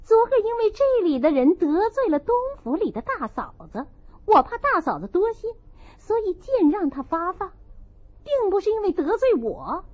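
A woman narrator playing a proud, sharp-tongued young noblewoman who argues indignantly to justify herself. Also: very high-pitched, sing-song, normal speed, pitched above the performer's own voice. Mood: flustered indignation. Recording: dull, lo-fi audio.

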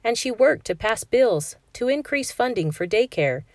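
A woman reading a children's picture book aloud in a clear, steady storytelling voice for a classroom. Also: a little high, moderate pace, no echo, studio quality. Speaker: read-aloud narrator.

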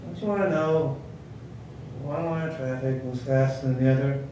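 A man's voice: medium pitch, moderate pace, natural voice. Male comic as an insistent tipsy pest in a stand-up bit, off-mic in a reverberant room. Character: pestering tipsy guy in traffic school. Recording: echoey room, distant mic, faint noise.

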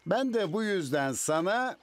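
A man playing a kindly, excitable older villager in a cartoon. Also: high voice, measured pace. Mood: kindly, sheepish. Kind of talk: animated cartoon dub acting.

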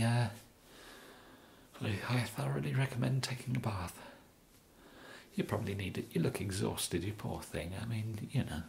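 Hushed, low English kidnapper, acted by a man. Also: a little hiss or hum, exaggerated voice. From England. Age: young adult. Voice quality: hushed, breathy whispering and soft speech. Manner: hesitant, halting, rambling. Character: bumbling, apologetic, awkwardly polite male kidnapper. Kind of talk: whispered ASMR roleplay. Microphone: close microphone.

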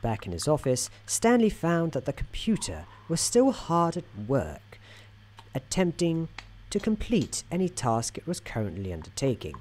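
Man playing a mellow, droll storybook narrator. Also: on the high side, huge pitch swings, slight background noise. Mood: soothing, droll. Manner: measured, whimsical, gently patronising. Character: droll, soothing male storybook narrator in an office worker's head. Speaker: narrator of an ASMR roleplay. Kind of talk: soft-spoken ASMR scripted narration.

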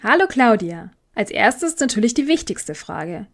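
A woman's voice: a little high, sing-song, unhurried, music in the background. A woman hosting a podcast, moderating an interview with prepared questions and introductions. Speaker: podcast host.

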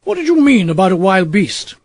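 Male reader as fussy English gent, high-pitched, self-important, indignant, emphatic, audiobook character. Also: very animated, brisk, creaky, a little mellow, slightly rough, no echo, full character voice. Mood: demanding.

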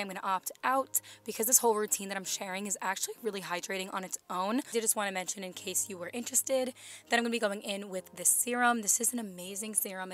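A woman in her twenties with a slightly raspy voice, doing an enthusiastic voiceover for her beauty vlog, gushing about products she loves. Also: mid-range voice, a bit bright. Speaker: beauty vlogger. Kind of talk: voiceover for a skincare video. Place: home room, voice-over recorded separately.

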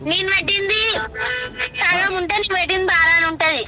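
A woman's voice: extremely high pitch, fairly even intonation, fairly quick, over loud music. A young woman with a Telangana Telugu accent arguing indignantly in a casual speakerphone call. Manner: indignant, combative. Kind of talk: heated casual phone argument.

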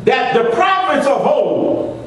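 A middle-aged man teaching a Bible class as pastor, repeating scripture line by line and rising into emphatic shouts. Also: very high-pitched, expressive, takes it slowly, slight background noise. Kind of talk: call-and-response Bible teaching. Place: church sanctuary, seated at a table. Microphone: far camera. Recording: echoey church room from far off.

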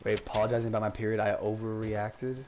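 A young man chatting excitedly with friends while playing a shooter game, swearing and bantering. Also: lowish voice, fast, background noise. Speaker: gamer. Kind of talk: casual gaming chat with friends.

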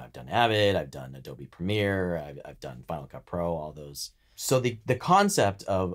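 A man co-hosting a podcast, explaining things in a conversational, instructional manner. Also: deep voice. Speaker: podcast co-host.

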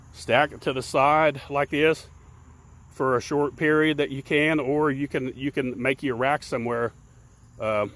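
Man, mid pitch, folksy rambling beekeeping how-to, talks outdoors into a handheld camera. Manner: rambling, repeats words, plain folksy speech. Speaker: beekeeper and channel host. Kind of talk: casual how-to talk while working hives. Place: outdoors beside beehives in a grassy yard. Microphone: handheld camera held by the speaker.

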